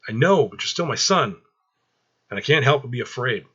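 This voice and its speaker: A man's voice: mid-range voice, expressive, moderate pace, sharp tone, husky, highs cut off. A man voicing an anxious, protective mother who pleads and scolds, in a story read aloud. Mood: worried.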